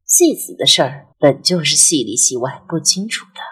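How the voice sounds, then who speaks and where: A woman a breathy voice and reads literary narration in a measured, expressive, dramatic way, as an audiobook narrator. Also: low-pitched. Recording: music under the voice. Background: background music.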